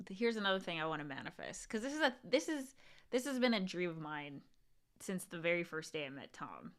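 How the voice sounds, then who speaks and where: A woman co-hosting a casual livestream podcast, talking in an animated way with lots of fillers and a strong, carrying voice. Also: on the low side, brisk. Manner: animated, thinks aloud with many "like" fillers. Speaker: co-host.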